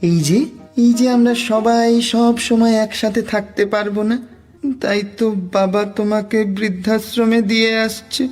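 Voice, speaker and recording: Woman voicing a mellow, earnest teenage grandson. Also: on the high side, little pitch movement, normal speed, faint music behind, lightly acted. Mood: earnest, sad. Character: devoted, earnest teenage grandson, sensitive and clingy. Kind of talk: Bengali cartoon voice acting.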